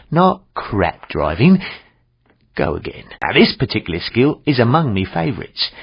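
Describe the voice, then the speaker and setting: Gravelly, animated Cockney cabbie. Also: male voice, a little high, muffled recording, full character voice. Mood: scornful, disappointed. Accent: London Cockney. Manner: colloquial slang, wry, theatrical. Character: chatty old London cabbie driving instructor, cheeky and blunt. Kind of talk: scripted game instruction and feedback.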